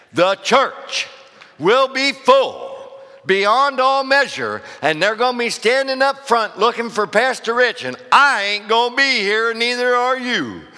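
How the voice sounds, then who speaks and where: A man preaching a loud sermon as pastor, hollering to the congregation and calling for amens, his voice going hoarse. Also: high-pitched. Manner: hollering, call-and-response preaching. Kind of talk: fiery sermon. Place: church sanctuary. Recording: long reverb of a church hall.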